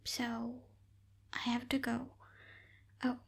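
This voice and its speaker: Woman playing a slow, soft-spoken aloof witch. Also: on the high side, completely flat delivery, vocal fry, heavy background noise. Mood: explaining, businesslike. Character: aloof tsundere night witch, lazy, businesslike young woman. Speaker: voice actor in audio roleplay. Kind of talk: ASMR roleplay, soft spoken.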